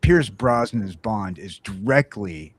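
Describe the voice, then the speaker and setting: Low-voiced man, halting casual podcast talk. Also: lively intonation. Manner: rambling, halting, frequent false starts. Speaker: podcast host. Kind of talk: casual chat about film franchises.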